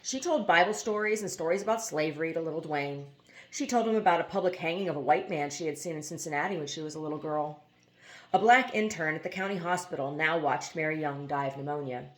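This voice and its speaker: A woman reading a novel aloud as scripted narration, now and then stumbling over a word. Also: on the low side. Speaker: amateur audiobook reader.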